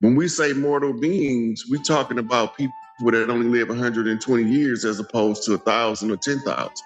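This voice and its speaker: A man's voice: mid-range voice, brisk, faint music behind. An American man speaking as a guest, lecturing conversationally in an emphatic, declarative manner. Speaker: interview guest. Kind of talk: conversational spiritual history lecture.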